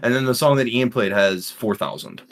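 A man's voice: medium pitch, moderate pace. Laid back guy co-hosting a podcast, chatting casually, mulling things over as he talks. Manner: easygoing, thinking aloud. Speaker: podcast co-host. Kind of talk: casual chat.

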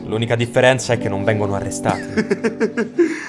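A man's voice: medium pitch, very animated, normal speed, music in the background, close to an everyday voice. A man playing a reserved, dry-humored noble adventurer in a fantasy audio drama, speaking guardedly. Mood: wry.

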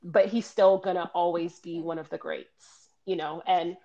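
A woman's voice: lowish voice, steady tone, normal speed, warm tone, muffled recording. A young American woman in a casual podcast chat, earnest and full of fillers. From United States. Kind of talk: casual group discussion.

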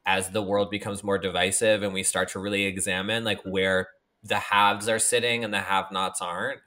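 A man's voice: low-pitched, flat delivery, moderate pace, bright, forceful tone. A man co-hosting a podcast, talking in a casual, playful way with many fillers. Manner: chatty, filler-heavy, playful. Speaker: podcast co-host. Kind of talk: casual chat.

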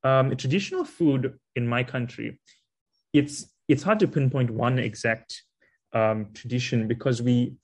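A man's voice: mid-range voice, measured pace. Man hosting a chat. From South Africa. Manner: friendly, asks questions, loose restarts. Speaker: podcast host. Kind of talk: conversational interview.

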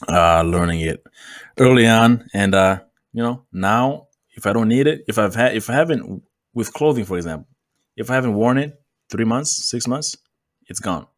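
A man chatting as a guest on a podcast, easygoing and quick to joke. His voice sits on the lower side and the sound is dry and close, with no room around it. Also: animated, dry recording. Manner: laid-back, loose and joking. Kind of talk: casual conversation.

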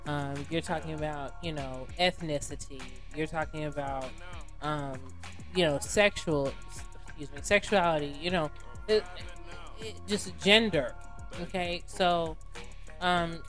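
Low-voiced woman chatting. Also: a little slow, a bit bright, faint music behind. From North Carolina, USA. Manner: chatty, rambling with many fillers, calls people honey. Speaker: podcast guest. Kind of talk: casual podcast chat.

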